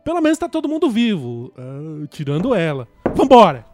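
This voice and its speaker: A man's voice: high voice, wildly swooping pitch, moderate pace, music in the background. A man playing a brash, impulsive, foul-mouthed gunman and crime fighter in an audio drama, slangy and blustering. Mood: defensive.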